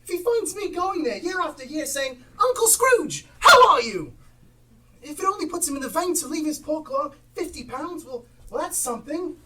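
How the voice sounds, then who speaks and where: A woman on stage playing a cheerful, forgiving young gentleman in a live amateur play, picked up by a distant mic. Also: sits high, sing-song, normal speed, exaggerated voice. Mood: earnest, warm.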